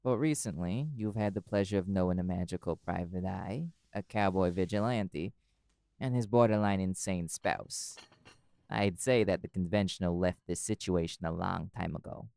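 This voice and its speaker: A man's voice: low voice, normal speed, gravelly, a little mellow, smooth, no echo. A man playing a cool, wry, flirty magical private eye in an intimate audio roleplay, teasing dryly and swearing casually.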